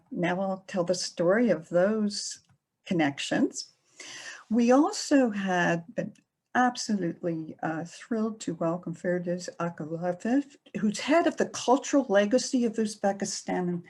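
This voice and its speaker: A middle-aged woman librarian giving a presentation, speaking slowly with many pauses and fillers. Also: medium pitch, a little mellow. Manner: slow, hesitant with many fillers. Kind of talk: presentation talk.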